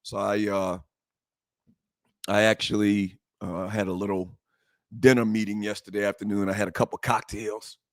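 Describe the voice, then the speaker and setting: A man hosting a sports talk show, fervent and emphatic, repeating phrases and rising to shouts, his voice a little hoarse. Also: fairly low pitch, no echo, clean studio sound. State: slightly hoarse, voice worn from shouting. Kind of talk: impassioned sports talk monologue.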